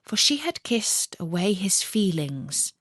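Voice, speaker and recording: A woman reading a fairy tale as a storyteller, with measured, expressive storybook narration. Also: medium pitch, dry recording, studio quality.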